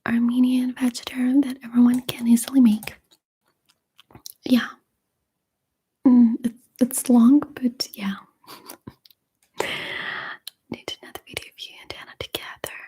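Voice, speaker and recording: A young woman with a Russian accent, whispering softly and hesitantly as she answers viewer questions. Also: a little high, little pitch movement, a little slow, pauses often, slightly rough. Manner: soft unvoiced whispering, hesitant with fillers. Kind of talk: whispered ASMR Q&A.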